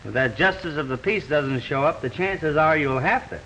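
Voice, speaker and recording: Old film lead: a wisecracking young detective, high and bright, brisk banter, faint hiss. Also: male voice, muffled recording. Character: wisecracking young male detective, eager groom. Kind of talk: 1930s film dialogue.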